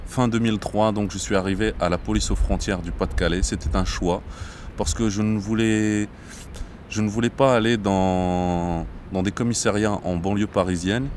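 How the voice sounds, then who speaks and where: A man in his thirties from Morocco, a border police captain, speaking in a measured interview with frequent hesitations. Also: lowish voice, steady tone, a little mellow, a little hiss or hum. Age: 30s. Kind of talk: interview monologue. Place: outdoors near traffic.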